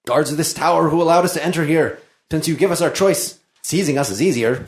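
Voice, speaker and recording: A man's voice: fairly high pitch, fairly quick, natural voice. A man playing a cheeky, cowardly comic servant, glib and wry in a play read-through. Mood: nervous quipping. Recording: roomy, echoey sound.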